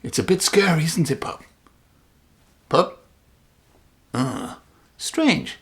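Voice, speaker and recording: Man reading a children's storybook, lively pitch. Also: takes it slowly, husky. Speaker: children's read-aloud presenter and narrator.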